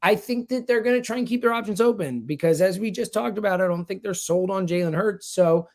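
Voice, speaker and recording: A man's voice: high-pitched, brisk. A man giving sports analysis in conversation, speaking emphatically and repeating himself to drive points home. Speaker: sports radio host as guest analyst.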